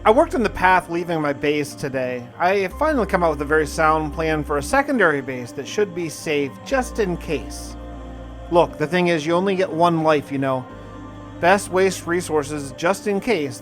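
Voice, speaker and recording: A man with a fairly high-pitched voice narrates a survival game as an in-character diary. He talks conversationally, musing aloud and putting questions to himself as he reasons things through. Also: animated, normal speed, music in the background. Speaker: gaming video narrator and player.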